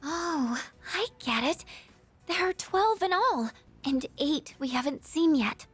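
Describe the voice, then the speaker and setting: An actress playing a shy, clever teenage schoolgirl who speaks softly and politely, with some hesitation. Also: sits high, animated, takes it slowly, soft background music, exaggerated voice. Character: soft-spoken teenage schoolgirl, shy and bright. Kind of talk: video game voice acting.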